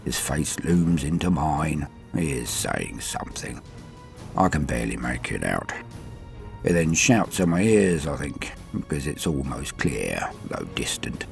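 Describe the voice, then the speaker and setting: An extremely deep, heavily creaking voice of a battle-worn, dying sergeant as acted by a man. He is stoic and proud, halting and solemn, swinging between murmur and force in a sci-fi audio drama over music. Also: big pitch swings, full character voice. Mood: fading, resigned. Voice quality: very deep gravelly voice. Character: dying veteran space-marine sergeant, stoic, proud, dutiful, centuries old. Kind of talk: scripted first-person audio drama monologue.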